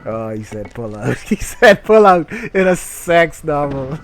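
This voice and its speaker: A man's voice: on the high side, very animated, measured pace. A man reading a story aloud as a streamer and breaking off for irreverent, sweary commentary. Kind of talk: dramatic reading with mocking live commentary.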